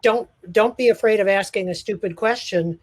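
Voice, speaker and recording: A man's voice: high voice, normal speed, no echo. A man who teaches college, hosting a casual video call session in a friendly, rambling way with many fillers and restarts.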